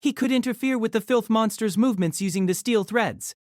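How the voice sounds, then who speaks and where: A woman narrating a novel in steady storytelling, also voicing the male lead's lines. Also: a little high, a little fast, no room sound, studio quality.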